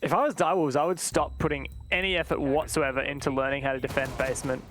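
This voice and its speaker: A man's voice: a little high, steady tone, fast, a bit bright, slight background noise. A man doing live esports commentary and analysis in a conversational manner.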